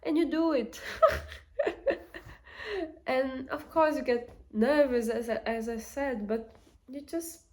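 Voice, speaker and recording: A woman's voice: high voice, takes it slowly, long pauses, a little mellow. A young woman with a Portuguese accent, talking casually to camera as a vlogger, thinking aloud with fillers and restarts. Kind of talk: casual reflective talk to camera.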